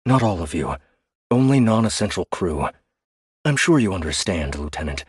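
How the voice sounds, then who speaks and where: A man voicing a small, cunning, rodent-like alien soldier who gives calm, clipped commands in an ordinary low voice. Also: moderate pace, slightly rough, no echo, clean studio sound, exaggerated voice. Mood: cool, commanding.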